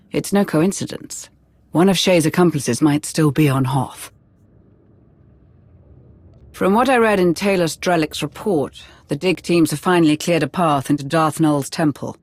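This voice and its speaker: An actress playing a cool, calculating imperial intelligence officer in a video game cutscene, speaking in a formal, precise way. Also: female voice, sits low, moderate pace, darkish tone, no room sound. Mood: composed briefing.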